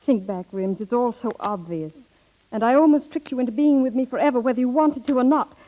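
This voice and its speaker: An actress playing an earnest, anxious young wife, emotional and halting, in a 1930s radio drama. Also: female voice, a little high, natural voice. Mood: resolute, sad. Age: young adult. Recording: dull vintage 1930s radio recording.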